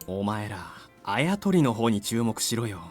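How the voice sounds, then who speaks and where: A man's voice: lowish voice, sing-song, fast talker, darkish tone, soft background music. A man voicing a wry, teasing schoolboy classmate in a Japanese anime visual novel. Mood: exasperated. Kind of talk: Japanese anime game voice acting.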